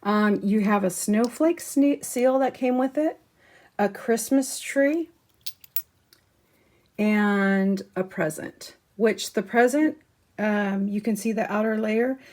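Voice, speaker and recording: A woman chats through her shopping haul in an easy, rambling way, with many ums and small restarts. Her voice is a little hoarse this morning and she clears her throat now and then. Her pitch sits in the usual middle range for a woman. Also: slow pace, darkish tone. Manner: chatty, many ums, showing items up close. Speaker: crafting channel host. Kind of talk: casual haul chat to camera.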